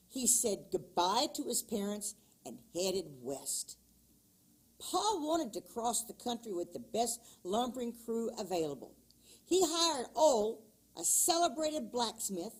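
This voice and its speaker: A woman reads a children's picture book aloud, taking her time over every line. Her voice is fairly high and swings up and down expressively, with a slightly husky edge. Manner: slow, expressive storytelling. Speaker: children's story reader. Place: church.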